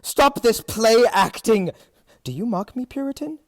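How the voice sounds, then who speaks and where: An actor playing a proud, hot-tempered captain, declaiming in a theatrical period audio drama style. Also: male voice, extremely high pitch, lively intonation, a little slow, no room sound, clean studio sound, heavily acted. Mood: furious. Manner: declamatory, indignant.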